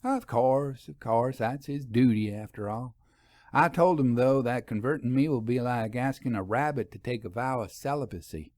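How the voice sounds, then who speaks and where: A man's voice: medium pitch, darkish tone, dry recording, lightly acted. A man voicing a cheerful, folksy young country wife in a storybook reading. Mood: wry. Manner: folksy, teasing, quick to laugh.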